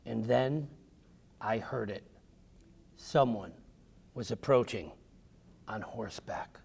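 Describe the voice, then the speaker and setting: A man voicing a patient old grandmother who recounts her wartime ride in measured, instructive storybook narration. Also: fairly low pitch, gravelly, a little mellow, husky, pitched below the performer's own voice, full character voice. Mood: suspenseful.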